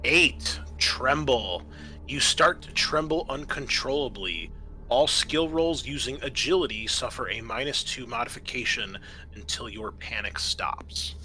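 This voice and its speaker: A man's voice: mid-range voice, expressive, measured pace, sharp tone, faint music behind, rough recording. A man acting as game master in a tabletop roleplaying game, explaining rules and narrating what the players' characters do.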